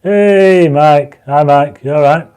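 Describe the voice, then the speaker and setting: A man hosting a casual livestream, reading out viewer comments and pushing for likes, his mouth dry and his voice going croaky. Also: fairly high pitch, slow pace, dark tone. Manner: reads viewer comments aloud, urges likes; enthusiastic when explaining stars. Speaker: livestream host. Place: home astronomy studio.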